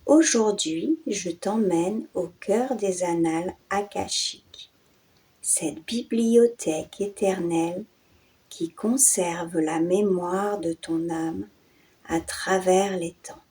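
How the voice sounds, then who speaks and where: A woman guiding a yoga nidra and hypnosis meditation in a soothing, hushed way, in short phrases with breathy endings and long pauses. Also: lowish voice. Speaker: meditation guide and channel host.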